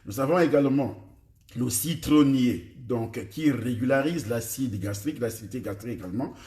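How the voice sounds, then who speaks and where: A man's voice: mid-range voice, moderate pace, warm tone. A man with a Cameroonian French accent whose first language is Ewondo, a preacher teaching his congregation about food and health, repeating himself and questioning his listeners directly. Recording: echoey room.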